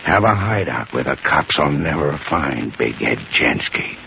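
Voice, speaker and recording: An American actor playing a menacing, bitter big-city gangster in a radio drama, gruff and domineering, with clipped tough-guy slang. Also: extremely low pitch, sing-song, unhurried, exaggerated voice. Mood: gruff, commanding. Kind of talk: 1950s radio drama acting. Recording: muffled, noisy vintage radio recording.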